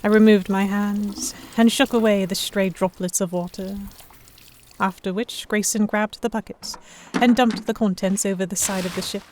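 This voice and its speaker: A woman's voice: mid-range voice, fairly even intonation, moderate pace, a little mellow, slight background noise, lightly acted. A woman playing an earnest, emotive noblewoman heroine who narrates an audio drama in the first person. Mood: observant, uneasy. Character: delicate young noblewoman, vexed, earnest, stubborn romantic heroine.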